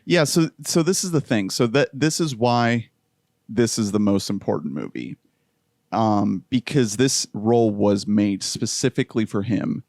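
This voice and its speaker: A man co-hosting a movie review podcast, laying out his points in a thoughtful, measured way. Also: fairly low pitch, animated, darkish tone, no echo, studio quality. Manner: thoughtful, builds arguments slowly. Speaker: podcast co-host. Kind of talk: casual movie review chat.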